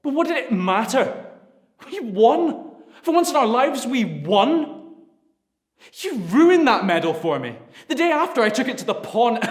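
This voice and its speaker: A man's voice: medium pitch, voice pushed up, natural voice. A man playing a bitter son raging at his father. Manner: furious, swearing, voice climbing to shouts. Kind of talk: audition monologue. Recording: roomy echo.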